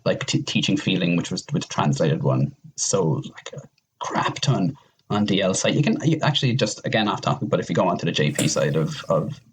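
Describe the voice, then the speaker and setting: A man's voice: low voice, expressive, fairly quick, a little hiss or hum. A man in a casual podcast discussion, rambling and emphatic, opinionated and given to mild swearing. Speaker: podcast panelist.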